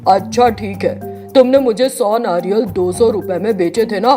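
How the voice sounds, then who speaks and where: A woman's voice: medium pitch, moderate pace, mellow, soft background music, close to an everyday voice. Woman voicing a crafty male village swindler. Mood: firm. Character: sly male village swindler, brisk and cunning. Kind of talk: storybook character voice.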